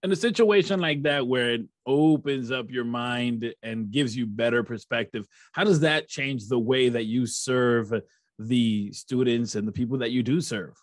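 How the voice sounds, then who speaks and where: A man from Rochester, New York hosts a podcast, asking his guest measured, reflective questions. His voice sits at a middle pitch, and the recording is dry and close. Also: dry recording. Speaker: podcast host. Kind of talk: interview questions.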